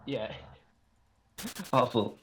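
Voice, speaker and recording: A man's voice: extremely slow, slight background noise. A man as a podcast guest, telling easygoing, self-deprecating stories in casual chat.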